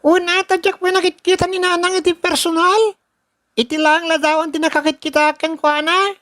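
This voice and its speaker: A woman's voice: extremely high pitch, level tone, normal speed, fairly bright tone, no echo, studio quality. A woman with an Ilocano Filipino accent playing a wistful little boy in an audio drama. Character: wistful little boy missing his mother. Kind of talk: amateur radio-style drama acting.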